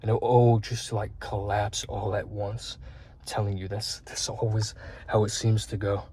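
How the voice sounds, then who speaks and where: Husky, earnest young man talking to camera. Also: fairly low pitch, flat delivery, measured pace, dark tone. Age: 20s. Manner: earnest, rambling, heavy on fillers and swearing. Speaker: vlogger. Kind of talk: candid self-improvement talk to camera.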